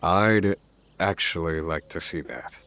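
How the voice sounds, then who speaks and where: An actor playing a grave, calm, thoughtful man who speaks formally and deliberately, with quiet menace, in a video game. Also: sits low, slight background noise, exaggerated voice. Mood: calm, musing. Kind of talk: dramatic video game voice acting.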